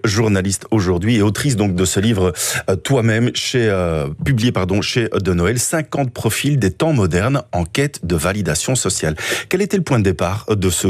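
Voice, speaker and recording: A man's voice: lowish voice, slightly rough, no room sound. A man hosting a radio interview in a studio, welcoming his guest and often rephrasing his questions as he goes.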